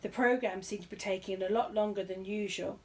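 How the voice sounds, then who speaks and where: A woman reading a children's story aloud in an expressive narrating style. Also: medium pitch, a little fast. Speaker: story reader.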